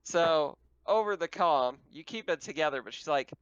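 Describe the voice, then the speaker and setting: A man's voice: on the high side, lively intonation, normal speed, fairly bright tone, clear, resonant tone. A man casually narrating and refereeing a tabletop game as game master. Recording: slightly dull, lo-fi mic.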